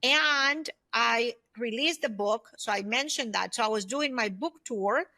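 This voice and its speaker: A woman in her fifties speaks as a guest in a conversational interview. Her voice sits fairly high and has a clearly bright, forward edge. She makes her points emphatically, often posing questions to herself as she explains her experience. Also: measured pace. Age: 50s. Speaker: guest, solopreneur consultant and former aerospace executive. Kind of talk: conversational interview, sharing personal experience and advice.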